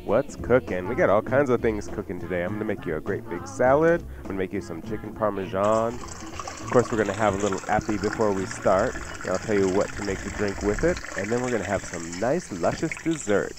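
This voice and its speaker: A man's voice: on the low side, expressive, fairly quick. A man co-hosting a live radio show with casual, folksy, plainspoken chat. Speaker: radio cooking show co-host and chef. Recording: music bed behind 1990s radio broadcast. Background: music.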